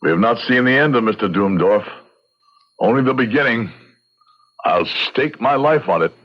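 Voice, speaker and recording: A man's voice: mid-range voice, lively intonation, moderate pace, natural voice. A man acting a grave, courtly frontier judge who narrates a radio drama in formal, literary phrases. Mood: sombre, pitying. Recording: dull lo-fi radio, music behind.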